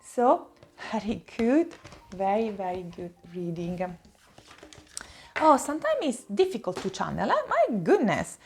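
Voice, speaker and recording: A woman's voice: medium pitch, very animated, measured pace, vocal fry, pauses often, slight background noise. A woman with an Italian accent, a native Italian speaker, giving an improvised tarot reading to viewers, searching for words and repeating phrases for emphasis. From Italy. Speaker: tarot reader, host.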